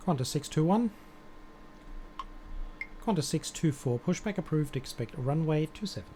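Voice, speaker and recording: Mellow man issuing air traffic clearances. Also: on the high side, lively intonation, normal speed. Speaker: live streamer playing as air traffic controller. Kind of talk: air traffic control clearances with casual commentary.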